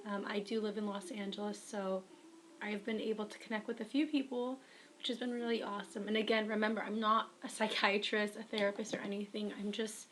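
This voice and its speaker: Raspy young woman on a webcam. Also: mid-range voice, slight background noise. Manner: earnest, talks to viewers as a friend. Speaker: writer giving advice. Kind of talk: casual advice vlog.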